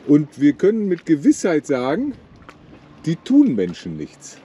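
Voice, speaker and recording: A man's voice: huge pitch swings, measured pace, mellow. A man in his sixties narrating a casual sailing vlog from a boat in a lagoon, teasing his partner as he films. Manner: wryly teasing, swings widely in pitch. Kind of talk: casual running commentary while filming. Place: outdoors on a sailboat in a lagoon. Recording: outdoor wind and water noise.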